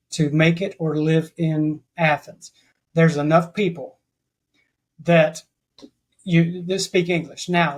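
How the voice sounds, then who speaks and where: A man's voice: fairly high pitch, a little mellow. A man talking as a podcast guest in a rambling way, often restarting his sentences. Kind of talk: casual interview chat.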